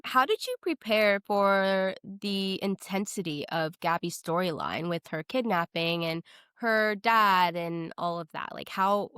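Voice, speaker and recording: Woman podcast host, casual chat, says like a lot, really smooth clear voice, dry sound. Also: medium pitch, a bit bright, no room sound. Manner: informal, peppered with "like".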